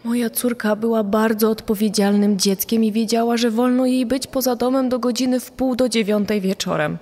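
A woman's voice: on the high side, fairly even intonation, a little fast, mellow, soft background music, close to an everyday voice. A woman voicing the mother of a missing young girl, giving read testimony for a true crime podcast. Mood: recounting.

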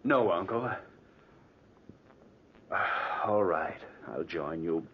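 Very deep, haunted, nervous nephew. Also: male voice, lively intonation, breathy edge, full character voice. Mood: uneasy. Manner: brooding, hushed, tormented, halting. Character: nervous, guilt-haunted, obsessed nephew confessing murderous dread. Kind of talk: old-time radio horror acting. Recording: dull, hissy old radio recording.

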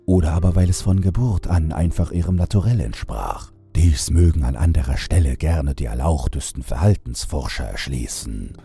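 Very deep, gravelly horror narrator man. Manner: slow, measured, ornate storytelling.

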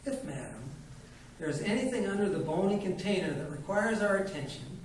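A man's voice: high voice, animated, fairly quick, pitched above the performer's own voice. A man voicing a pompous old male quack who reads heads, speaking in a haughty, grandiloquent way. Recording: very echoey room, mic at a distance, faint noise.

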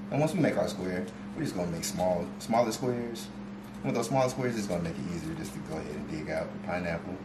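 A young man, a guest chef, calmly explaining a recipe step by step in a home kitchen, filling pauses with "you know". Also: sits low. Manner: steady, instructive, with fillers like "you know". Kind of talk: casual cooking demonstration. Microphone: far camera. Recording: echoey kitchen, noisy.

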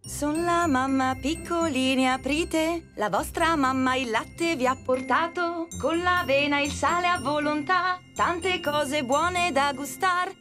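Female storybook mama goat: high voice, caring but firm, music underneath. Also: slow, few pauses. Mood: tender, motherly. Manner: tender, motherly, expressive. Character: caring, resolute mother goat of seven kids. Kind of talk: children's cartoon voice acting.

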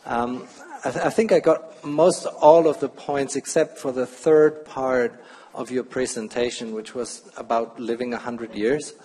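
A man in the audience asking a question at a conference, halting and hesitant, with many restarts. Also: mid-range voice, steady tone, warm tone, husky. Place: large conference hall. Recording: hall reverb.

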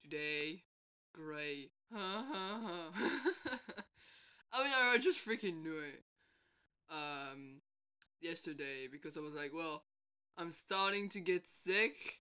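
A vlogger with a bad cold talks slowly and unhurriedly, in a bright, clear voice. The speech rambles, corrects itself mid-sentence, and breaks into frequent laughs. The recording is casual chat from home. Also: medium pitch, lively intonation. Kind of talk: casual late-night vlog chat. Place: indoors at home.

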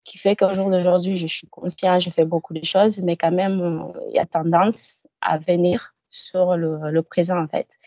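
A woman's voice: on the low side, little pitch movement, normal speed, hardly any pauses, mellow, no echo. Hesitant woman on a video call. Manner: hesitant, many fillers and restarts. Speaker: hypnosis client. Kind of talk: telling her personal story.

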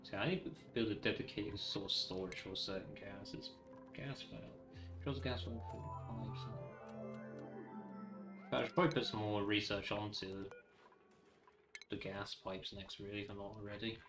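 A man's voice: on the low side, steady tone, moderate pace, pauses often. A man giving casual gameplay commentary, thinking aloud in a rambling way and reading game text out. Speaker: gaming video host. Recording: roomy echo, faint music behind.

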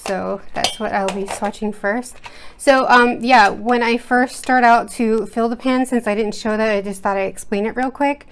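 A woman's voice: on the high side, fairly even intonation, normal speed, slight background noise. An American woman hosting an art video, chatting casually and rambling with lots of fillers and restarts while she works at her desk. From United States. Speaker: art channel host. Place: indoors at a home desk. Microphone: overhead camera setup, speaker off-screen.

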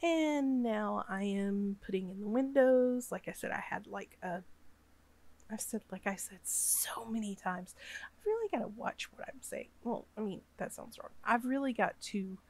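A woman's voice: mid-range voice, measured pace, darkish tone. A woman with a Southern US accent, sick and sniffly, chatting casually over her game build with lots of ums and uhs. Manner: rambling, chatty, many fillers.

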